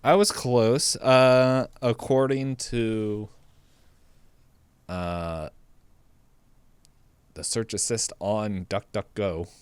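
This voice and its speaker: A man's voice: on the low side, fairly even intonation, drawn-out words, long pauses, clean tone, dry recording. A man co-hosting a podcast in casual chat, hesitant and rambling as he thinks aloud. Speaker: podcast co-host.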